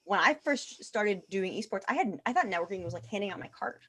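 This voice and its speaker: A woman with a mid-pitched voice talks casually as a guest in an online interview. She is emphatic, often repeating words to drive her point home. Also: lively intonation, brisk, sharp tone, husky. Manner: emphatic, repeats phrases for stress. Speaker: guest physician and nonprofit founder. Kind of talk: casual webinar talk about esports advocacy.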